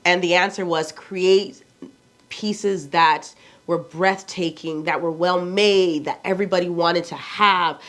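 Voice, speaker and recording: A woman's voice: lowish voice, steady tone, slow pace, a bit bright. Blunt Nigerian-accented woman in her forties. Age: 40s. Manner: blunt, candid, chatty with rhetorical questions. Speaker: fashion vlogger. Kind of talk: casual chat to camera.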